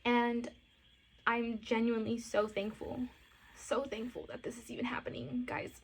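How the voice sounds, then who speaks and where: A woman's voice: on the high side, little pitch movement, normal speed, creaky, slightly rough, rough recording. A woman in her late twenties talking to camera in a casual vlog, gushing and a little teary, with many fillers. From born in Costa Rica, grew up in Miami. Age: 20s. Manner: emotional, gushing, heavy on fillers. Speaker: lifestyle and mom vlogger.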